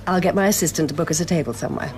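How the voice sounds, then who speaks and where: An actress playing a blunt, businesslike documentary film-maker in a naturalistic radio drama. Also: female voice, low-pitched, rapid-fire, close to an everyday voice. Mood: businesslike, inviting. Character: forthright, tactless female documentary film-maker.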